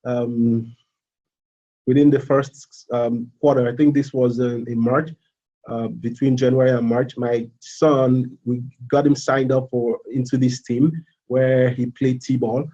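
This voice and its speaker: A man in his thirties from Nigeria with a Nigerian accent, a student giving a casual live presentation, halting with fillers and repeats. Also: medium pitch, little pitch movement, dark tone, dull audio. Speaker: MBA student.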